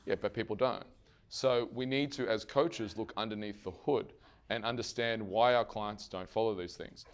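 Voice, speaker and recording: A man losing his voice gives a lively fitness seminar to trainers and keeps asking the room questions. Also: on the low side, moderate pace, clear, resonant tone. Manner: energetic, asks the audience questions and answers them himself; swears casually. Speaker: fitness coach presenting to trainers. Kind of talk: live seminar presentation.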